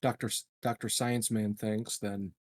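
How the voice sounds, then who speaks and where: A man's voice: fairly low pitch, flat delivery, measured pace, no room sound, studio quality. A man chatting casually as a player in a tabletop game, joking and loose with his language. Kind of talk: casual chat.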